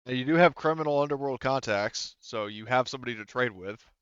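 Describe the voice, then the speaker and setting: A man game-mastering a tabletop roleplaying session in an easygoing, accommodating way. His voice is smooth and clear. The sound is dry and a little muffled. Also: mid-range voice, brisk, fairly bright tone, no echo. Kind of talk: casual tabletop game talk.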